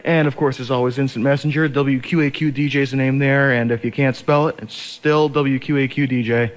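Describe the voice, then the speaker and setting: A man's voice: mid-range voice, fairly even intonation, a little fast. A young man hosting as a college radio DJ, chatting casually between songs in a sleepy, hesitant, rambling way on an over-the-air recording. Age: young adult.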